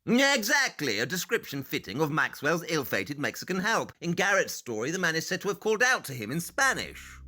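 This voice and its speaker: An actor playing a brilliant, impatient, cynical detective in an audio play, with an emphatic, brusque, analytical delivery. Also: male voice, on the high side, wildly swooping pitch, fairly quick, few pauses, pushed and bright, heavily acted. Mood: excited.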